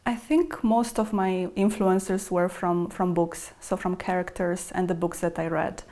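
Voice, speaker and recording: Soft-spoken woman, low voice. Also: fairly even intonation, a little slow. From Romania. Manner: soft, hesitant, with many restarts and fillers. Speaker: guest. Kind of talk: casual interview.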